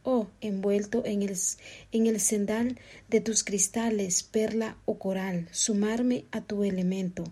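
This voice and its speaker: A woman recites a poem with expressive phrasing. Her voice is husky and warm in tone. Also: mid-range voice, a little slow. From Honduras. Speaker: poetry reader. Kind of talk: poetry recital.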